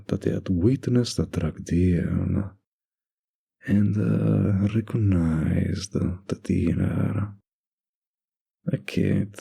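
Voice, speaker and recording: A man acts out a smooth-talking mafia boss in an ASMR roleplay. His voice is deep and very raspy, with gravelly fry at the edges. He teases and threatens in the same unhurried, dominant, flirty drawl. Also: mellow, heavily acted.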